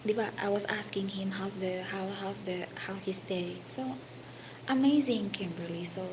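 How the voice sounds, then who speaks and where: A woman from the Philippines with a Filipino accent, telling a story about her work in a halting, casual way, full of fillers and sometimes dropping to a whisper. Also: mid-range voice, fairly even intonation. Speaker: hospitality worker telling a story. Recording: noisy background.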